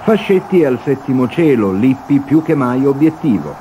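A man's voice: mid-range voice, very animated, moderate pace, narrow, tinny audio. A man reading a scripted football match report as a television sports reporter. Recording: noisy archive TV broadcast.

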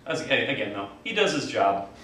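A man's voice: medium pitch, very animated, a little fast, fairly bright tone. An American man in his thirties chatting casually as an interviewee, with a joking, mock-boastful manner. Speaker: actor and writer being interviewed. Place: indoor theatre set. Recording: echoey room, distant mic, faint noise.

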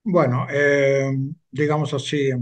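Older guy, 70s, slow careful video call talk, lots of eh pauses, headset. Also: on the high side, fairly even intonation, dull audio. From Argentina. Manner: measured, hesitant with frequent "eh" pauses. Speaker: interview guest, retired sociologist. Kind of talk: slow, thoughtful interview answers. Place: home room with bookshelves.

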